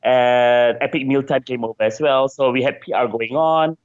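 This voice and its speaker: A man's voice: medium pitch, steady tone, normal speed, smooth, highs cut off. A man being interviewed as a game designer, talking casually and chattily, often repeating words as he searches for phrasing.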